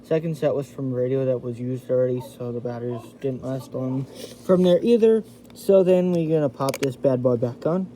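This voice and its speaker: Guy doing a casual review at home, real mellow voice, bit of background noise. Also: on the high side, rough recording. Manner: informal, chatty, addresses viewers as "you guys". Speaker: video host reviewing a radio. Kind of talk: casual hands-on product review. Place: indoors in a house.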